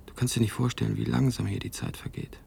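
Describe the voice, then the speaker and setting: An actor playing a lonely, brooding writer voicing a letter in a radio play, intimate and reflective, with a husky voice. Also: male voice, medium pitch, flat delivery, fast, a little hiss or hum. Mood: wistful, reflective. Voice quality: husky, gravelly, mellow.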